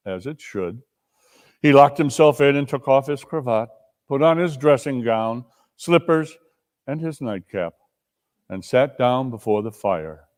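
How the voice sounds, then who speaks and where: A man with a medium-pitched voice narrates a classic tale as a staged reading. His storytelling is deliberate and suspenseful. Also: darkish tone. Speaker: narrator and performer.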